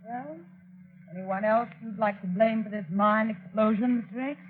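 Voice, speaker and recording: An actress playing a tough, accusing young woman with a sharp, defiant manner in an old radio drama. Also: mid-range voice, steady tone, moderate pace, slight background noise, close to an everyday voice. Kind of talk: 1940s radio acting. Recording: tinny phone-like old radio recording.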